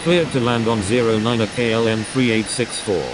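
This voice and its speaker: A man's voice: medium pitch, normal speed, close to an everyday voice. A man playing a sober, businesslike airline pilot reading procedural radio calls in a simulator game. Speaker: pilot on air traffic radio. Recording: clearly noisy, steady background hum.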